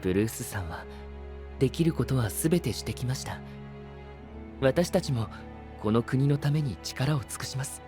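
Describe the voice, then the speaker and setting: A man playing a loyal gentleman knight in an anime-style voice drama, calm, formal and very polite. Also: mid-range voice, moderate pace, music in the background, close to an everyday voice. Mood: devoted.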